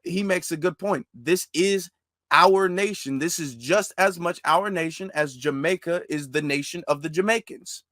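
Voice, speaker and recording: Higher-voiced man, dry close sound. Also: moderate pace, fairly bright tone, no echo. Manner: conversational, emphatic, with frequent restarts. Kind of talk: casual discussion.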